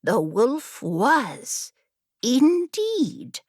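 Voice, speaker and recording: A woman's voice: high voice, wildly swooping pitch, very slow, darkish tone, no echo, clean studio sound, pitched above the performer's own voice, full character voice. A woman playing a storyteller who holds children rapt at a campfire, theatrical and emphatic, in an audiobook. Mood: dramatic.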